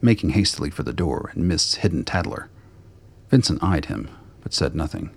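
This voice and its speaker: A man narrates a radio play in a scripted storytelling style. His voice is extremely low, with a gravelly creak and a husky, rough grain. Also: very animated, moderate pace.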